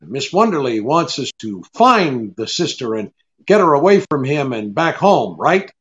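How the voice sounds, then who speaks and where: Guy doing a cool clipped radio detective, wry, pitch moves around a lot, kinda muffled audio. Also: very animated, normal speed, fairly bright tone, a little hiss or hum. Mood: businesslike. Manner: wry, clipped, take-charge. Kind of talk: old-time radio drama acting and narration. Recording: dull lo-fi radio-play recording.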